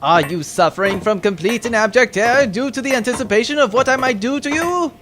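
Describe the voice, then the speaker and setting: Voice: medium pitch, animated, fairly quick, close to an everyday voice. An actor playing a sarcastic, pompous villain baron who gloats and threatens captives in a comedic audio drama. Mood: menacing, exasperated.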